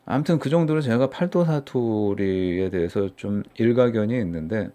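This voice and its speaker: A man's voice: lowish voice, normal speed, mellow. A middle-aged man from Seoul giving casual, mocking political commentary for his own channel, rambling with many fillers. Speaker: political YouTuber.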